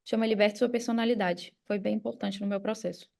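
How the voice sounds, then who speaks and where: A woman's voice: mid-range voice, steady tone, brisk, creaky, darkish tone. A Brazilian woman speaking as a podcast guest and coach, explaining things in a casual conversational way. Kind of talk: casual explanatory interview talk.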